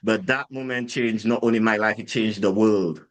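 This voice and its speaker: A man's voice: fairly low pitch, moderate pace. A man from Saint Lucia with a Saint Lucian accent, a guest on a podcast interview, telling his story casually with many fillers and restarts, livening up as he recounts events. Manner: rambling, many fillers and restarts, animated when retelling. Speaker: guest, drinks brand founder. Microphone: podcast mic.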